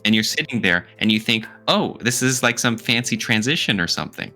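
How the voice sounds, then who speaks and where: A man co-hosting a podcast, talking casually and jokingly in an animated, teasing, argumentative way. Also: mid-range voice, a little fast, soft background music. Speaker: podcast co-host. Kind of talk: casual, joking opinion talk.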